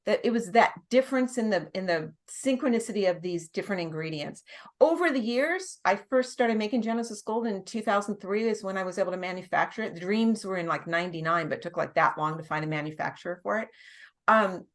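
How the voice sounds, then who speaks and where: A middle-aged woman from the United States talks through her ideas at length in a relaxed interview. She restarts her sentences often and slips in small laughs, with her voice at an ordinary middle pitch. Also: fairly quick. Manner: chatty, explains at length with frequent restarts and laughs. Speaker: guest nurse practitioner. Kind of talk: casual interview conversation.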